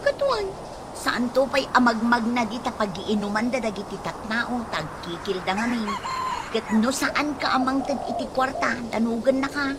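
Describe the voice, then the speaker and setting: An actress playing a gossipy, envious young daughter in an Ilocano radio comedy drama. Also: female voice, a little high, normal speed, background noise, close to an everyday voice. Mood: surprised, indignant.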